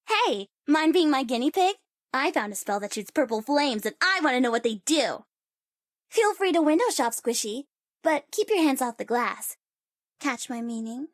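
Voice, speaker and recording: Game casting: vain young sorceress, high and animated, bratty, playful, bloodthirsty, dry close sound. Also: female voice, no echo. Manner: cocky, playful, theatrical, teasing. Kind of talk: video game character voice lines.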